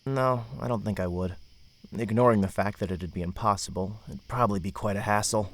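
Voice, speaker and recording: A man's voice: on the low side, brisk, close to an everyday voice. A man playing a young nobleman in an audio drama, casual and sarcastic, thoughtful but irreverent. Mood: reflective. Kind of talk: audio drama character acting.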